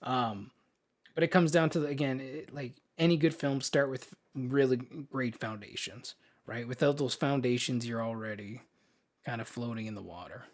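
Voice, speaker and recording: A man hosts a relaxed, conversational podcast in an average-pitched voice. His delivery is informal and slangy, like talk between friends, with mild swearing dropped in. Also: normal speed. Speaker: podcast host. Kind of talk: casual film podcast chat.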